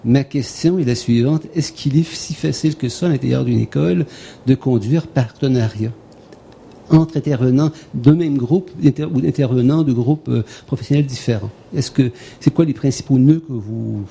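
Dark-voiced Québécois man telling anecdotes. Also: medium pitch, normal speed, vocal fry, a little hiss or hum. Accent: Québécois French. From Canada, Quebec. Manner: discursive, repeats words while searching for them. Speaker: conference participant asking a question. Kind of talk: academic discussion with anecdotes.

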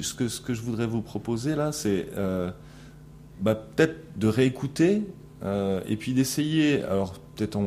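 A man's voice: fairly low pitch, warm tone, a little hiss or hum. A middle-aged man lecturing a class, thinking aloud with many fillers and restarts and putting questions to the students. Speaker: teacher. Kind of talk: classroom lecture analyzing a recorded interaction.

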